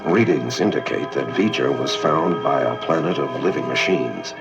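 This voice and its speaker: A man playing a grave, logical alien science officer with solemn, deliberate delivery on a read-along record. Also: extremely low pitch, lively intonation. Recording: dull vintage read-along record with music.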